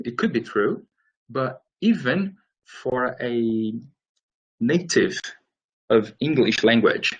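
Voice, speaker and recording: Man, Brazilian Portuguese accent, medium pitch, webinar presenter, instructional talk, hesitant explanatory phrasing. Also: fairly bright tone, slightly rough, rough recording. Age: late 30s. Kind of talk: instructional slide presentation, semi-scripted talk.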